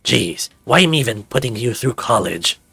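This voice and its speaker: A man voicing a calm, dryly teasing scaly father in a visual novel. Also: mid-range voice, normal speed, creaky, husky, no echo, faint music behind, exaggerated voice.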